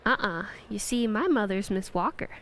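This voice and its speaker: A woman's voice: medium pitch, animated, moderate pace, a bit bright. A young female performer playing a precocious, skeptical little schoolgirl in a radio play, polite and matter-of-fact. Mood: politely skeptical. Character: precocious, skeptical, practical little second-grade girl.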